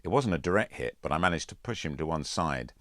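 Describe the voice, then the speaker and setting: A man's voice: extremely low pitch, expressive, fast talker, fairly bright tone, dry recording, clean studio sound. A man reading a scripted first-person story as an audiobook narrator. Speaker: author reading own audiobook.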